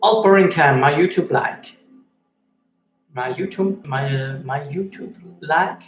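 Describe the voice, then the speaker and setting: Halting man in an echoey room. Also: on the high side, faint music behind. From Switzerland. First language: Swiss German and Italian. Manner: halting, many fillers and restarts, reads chat aloud. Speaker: live-stream host reading chat. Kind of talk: casual live-stream chat. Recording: echoey room with faint noise.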